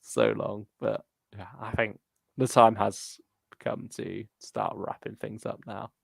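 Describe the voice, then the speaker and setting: A man's voice: fairly low pitch, little pitch movement, slow, creaky, no room sound. A man hosting a casual fan discussion livestream, thinking aloud in a tentative way as he talks. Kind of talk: casual chat.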